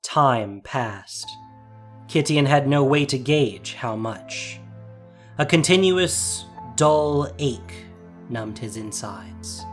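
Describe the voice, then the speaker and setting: A man reading a scripted fantasy story as narrator, with measured, deliberate, suspenseful phrasing. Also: medium pitch, animated. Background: soft music.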